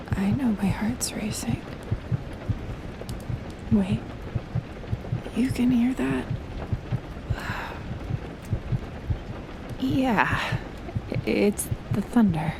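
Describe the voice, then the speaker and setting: A woman's voice: medium pitch, creaky. A young woman playing a shy, playful best friend in an intimate audio roleplay, talking casually and hesitantly. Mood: flustered, nervous. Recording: rain and thunder noise behind voice. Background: rain and thunder.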